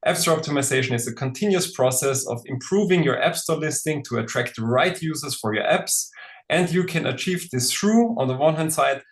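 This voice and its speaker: A man's voice: on the high side, animated, a little fast. A man in his forties from Austria, with an Austrian German accent, presenting at a webinar with frequent hesitations. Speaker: co-founder and presenter.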